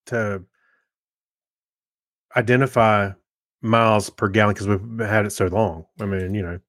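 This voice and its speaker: A man's voice: lowish voice, fairly even intonation, measured pace, fairly bright tone, dry recording, clean studio sound. A man co-hosting a podcast, chatting casually in a plain-spoken, conversational way. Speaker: podcast co-host. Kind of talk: casual chat.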